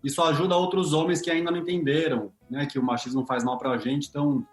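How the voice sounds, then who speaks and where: A man's voice: a little high, steady tone, quick pace, soft background music. Open-hearted Brazilian man, roomy sound. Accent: Brazilian Portuguese. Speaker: podcast guest, speaker on masculinity. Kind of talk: casual podcast chat.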